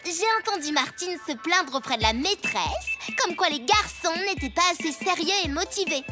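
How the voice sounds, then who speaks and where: High, swooping, scheming cartoon schoolgirl. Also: animated, moderate pace, music in the background. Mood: sly. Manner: sly, haughty, mock-innocent. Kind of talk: French-dubbed children's cartoon acting.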